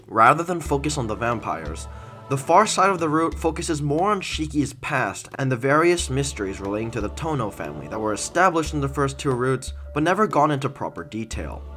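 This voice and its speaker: A male reviewer reads a scripted critique in a mid-pitched voice. His intonation swings in a lively way, with deliberate pauses and stresses for emphasis. Music plays softly behind him. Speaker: video reviewer, host. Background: background music.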